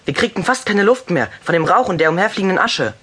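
Woman doing a cocky little boy in a radio play, lowish voice that jumps around, loud noise behind. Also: lively intonation, fast talker, natural voice. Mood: narrating. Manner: cheeky, flippant. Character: Willy, cocky wisecracking young boy, Billy's brother. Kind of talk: German children's audio drama acting and narration.

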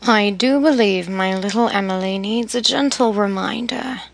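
A woman voicing Charles, a stern, teasing young nobleman, in a slightly lowered, firm voice while reading a story. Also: moderate pace, gravelly.

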